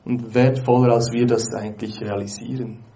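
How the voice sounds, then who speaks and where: A man's voice: mid-range voice, level tone, normal speed, warm tone, highs cut off. A man interpreting a sermon live, following closely behind the preacher's phrases.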